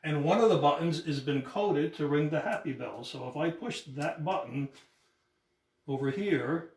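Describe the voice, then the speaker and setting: A fairly high-voiced man explains how something works in an informal demonstration. He halts and repeats words as he goes. He is recorded at a distance from the mic in an echoey room. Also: normal speed, a little mellow. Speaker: guest explaining the church bell system. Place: inside a church, roomy.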